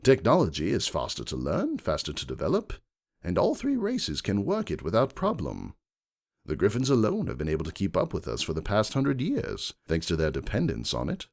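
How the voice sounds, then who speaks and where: A man playing a self-assured, wealthy gentleman stallion who argues emphatically and cuttingly in a dramatic fan fiction reading. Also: lowish voice, creaky, pitched above the performer's own voice, full character voice.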